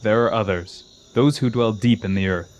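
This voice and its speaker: A man playing a scheming exiled old king in a fantasy audio drama, speaking in a slow, grandiose, coaxing manner. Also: fairly low pitch, a little mellow, close to an everyday voice. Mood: seductive, coaxing.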